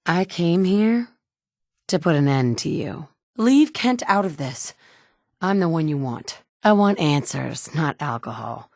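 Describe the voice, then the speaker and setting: A steady wasteland survivor. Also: female voice, low voice, a little slow, gravelly, dry recording, full character voice. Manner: direct, firm. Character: capable adult woman survivor, steady and direct. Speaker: player character. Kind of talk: video game dialogue. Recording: dry studio recording.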